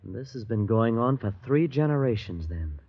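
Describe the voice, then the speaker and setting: Earnest young male lead and narrator, low-pitched, dramatic, dull vintage radio sound. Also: lively intonation, normal speed, slightly rough. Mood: incredulous. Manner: tense, dramatic, urgent delivery. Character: earnest young man, anxious guest turned tense narrator. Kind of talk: 1940s radio acting, dramatic first-person narration. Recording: muffled 1940s radio broadcast with music.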